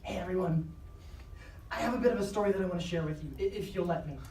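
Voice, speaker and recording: Performer as uneasy, intimate narrator, casual and confiding, mid-pitched; roomy live stage, slightly off-mic. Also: fast, a little mellow, distant mic, slight background noise, lightly acted. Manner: informal, confiding, sighing. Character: anxious, confiding storyteller sharing found diary notes. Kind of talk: live audio drama narration. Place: convention live show stage.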